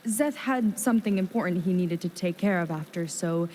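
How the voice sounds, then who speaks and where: An actress playing an earnest, loyal young warrior companion in a video game, with a persuasive manner. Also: female voice, lowish voice, moderate pace, darkish tone, slight background noise, lightly acted. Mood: admiring, persuasive.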